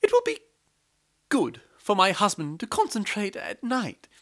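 A storyteller voicing the clever, humble wife of a poor Brahmin, speaking in a raised, soothing, flattering voice. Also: male voice, measured pace, heavily acted. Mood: persuasive. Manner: flattering, soothing, high-pitched.

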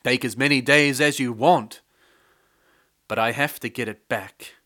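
A storyteller voicing an impatient king, loud and commanding, close to his own voice. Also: medium pitch, a little slow.

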